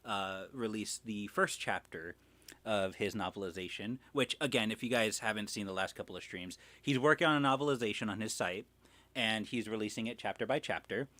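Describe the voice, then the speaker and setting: A man's voice: on the low side, brisk, fairly bright tone, no room sound. A man hosting a livestream in casual chat, rambling and self-deprecating, with some swearing. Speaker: livestream host.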